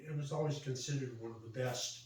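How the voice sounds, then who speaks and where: A man's voice: medium pitch, darkish tone. Older American man in a very echoey room. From Central Valley, California, United States. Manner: halting, reminiscing. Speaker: storyteller. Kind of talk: casual personal storytelling. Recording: roomy echo, distant mic, faint noise.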